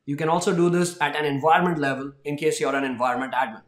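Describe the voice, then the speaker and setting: High-voiced man presenting in a roomy space. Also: steady tone, quick pace. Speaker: tech presenter. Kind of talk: explanatory product announcement presentation. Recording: roomy indoor echo.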